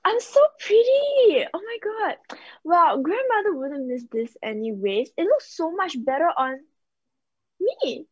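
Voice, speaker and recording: Teen girl acting vain gossipy spoiled fairytale girl, high and slow, muffled call audio. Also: big pitch swings, full character voice. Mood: vain, gushing. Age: teenager. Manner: exaggerated, drawn-out, gushing.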